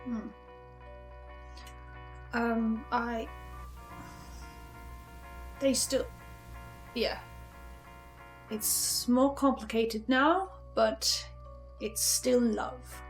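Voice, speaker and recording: A woman's voice: a little high, drawn-out words, long pauses, faint music behind, poor audio. A woman playing a wary, earnest seer who speaks hesitantly in a dramatic roleplay. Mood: hesitant, sincere.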